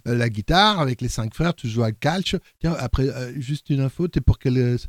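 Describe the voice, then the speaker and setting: A man hosting a radio interview in a studio, asking questions in a halting way, with many fillers. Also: mid-range voice, lively intonation, no room sound. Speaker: radio host.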